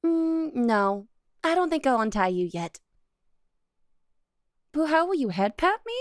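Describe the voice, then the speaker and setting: A possessive young cat girl, acted by a woman in an ASMR roleplay, jealous and coy as she addresses her partner. Her voice is fairly high and lilting, unhurried in pace, both accusing and affectionate. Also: big pitch swings, dry recording, clean studio sound. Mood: clingy, pleased. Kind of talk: ASMR roleplay, scripted monologue to the listener.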